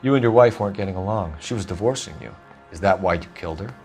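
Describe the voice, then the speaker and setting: An actor playing a stern young American police detective in a video game, questioning in a clipped, formal, accusatory way. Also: male voice, low-pitched, fast, darkish tone, faint music behind. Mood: accusing, stern. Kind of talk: acted dramatic video game dialogue.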